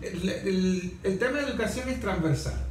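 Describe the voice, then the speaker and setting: A Venezuelan man moderates a discussion in a heavily echoing room with faint noise. His voice is high for a man, and he argues his opinions through rhetorical questions. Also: lively intonation, moderate pace.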